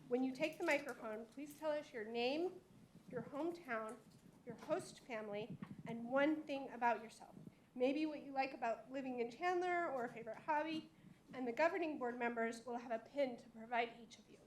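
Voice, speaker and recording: A high-pitched woman speaks in a measured, formal tone, delivering prepared remarks to a school board. The boardroom recording carries a clear layer of background noise. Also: poor audio. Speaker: district staff presenter. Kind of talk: formal public meeting address.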